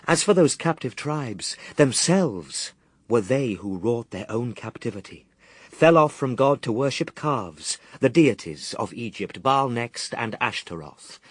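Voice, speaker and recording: A steadfast in a radio verse drama. He speaks very slowly at a middling pitch, stern and calm in rebuke, with a dry, close sound. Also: breathy edge, no room sound. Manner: deliberate, stern, dismissive of temptation. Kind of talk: BBC radio drama, solemn verse recitation.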